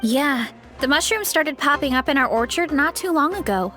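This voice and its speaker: A woman voicing a friendly, earnest, energetic young girl in a video game, with an eager manner. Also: high-pitched, moderate pace, faint music behind. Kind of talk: video game character acting.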